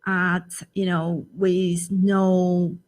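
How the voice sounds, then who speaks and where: A woman's voice: lowish voice, level tone, extremely slow, darkish tone. A middle-aged woman from Taiwan, a guest in an interview, speaking earnestly and searching for words in a language that is not her first. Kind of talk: interview conversation.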